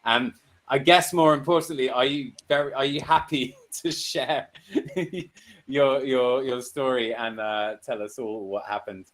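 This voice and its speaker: A man's voice: mid-range voice, unhurried, a bit bright. A man hosting a casual group discussion, speaking informally and encouragingly. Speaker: host.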